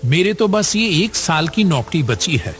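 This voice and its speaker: A man's voice: fairly high pitch, sing-song, measured pace, soft background music. A man voicing a kindly, generous older village headmaster in a cartoon story, chatty and avuncular. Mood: generous, reassuring. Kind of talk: Hindi cartoon story acting.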